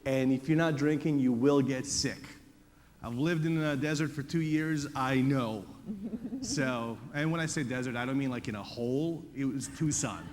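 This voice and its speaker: A man's voice: medium pitch, moderate pace, a little hiss or hum. Joking man from Bosnia, echoing hall. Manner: joking, casual, laughs at own jokes. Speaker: panelist. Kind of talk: conference panel talk. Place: conference stage. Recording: reverberant conference hall.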